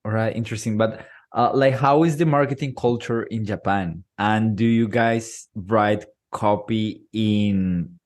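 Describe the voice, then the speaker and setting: Low-voiced man asking curious questions. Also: unhurried, hardly any pauses. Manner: curious, short reactions and questions. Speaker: podcast host. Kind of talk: casual interview questions.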